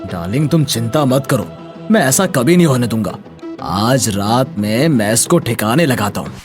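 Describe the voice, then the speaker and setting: A man's voice: mid-range voice, animated, normal speed, over music, close to an everyday voice. An actor playing a thuggish, boastful and menacing village villain, devoted to his lover, in a dramatized moral story. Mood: boastful reassurance.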